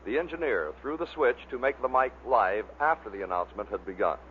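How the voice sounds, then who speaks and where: A man's voice: mid-range voice, expressive, fairly quick. A man giving scripted narration as the presenter of a compilation. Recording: muffled, noisy vintage radio recording.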